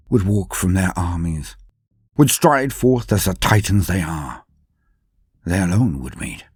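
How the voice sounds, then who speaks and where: Deep, husky man narrating grim war fiction. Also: dark tone, no echo, clean studio sound. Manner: grave, deliberate, theatrical pauses. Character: grim, brooding gene-enhanced space marine commander. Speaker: lore channel narrator. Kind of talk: dramatic scripted first-person war story narration.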